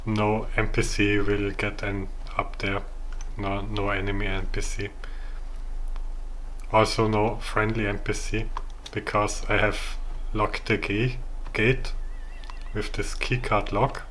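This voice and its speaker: A man with an Austrian accent, a native German speaker, giving a casual, halting game walkthrough. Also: fairly low pitch, flat delivery, fairly bright tone, slight background noise, rough recording. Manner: halting, searching for words. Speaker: gamer showing his own game build.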